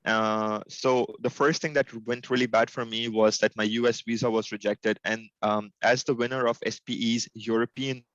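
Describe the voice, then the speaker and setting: A man's voice: mid-range voice, fairly even intonation, moderate pace, hardly any pauses, a bit bright, no echo, lo-fi sound. A young man from Pakistan giving an informal, humble webinar presentation over a video call, with lots of fillers and restarts. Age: young adult.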